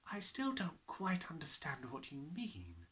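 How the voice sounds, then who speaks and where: A man voicing a gentle, patient woman schoolteacher in a storybook, speaking softly and carefully. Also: fairly high pitch, wildly swooping pitch, a little slow, voice pushed up, heavily acted. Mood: puzzled. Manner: soft, careful, soothing.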